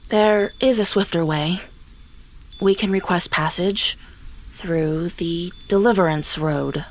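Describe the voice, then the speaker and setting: A priestess of the dead with a lowish voice, acted by a woman for a fantasy radio drama. She is calm, firm and reassuring. Faint noise lies in the recording. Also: slow pace. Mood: assured. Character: calm, assured priestess who ministers to the dead. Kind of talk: fantasy audio drama acting.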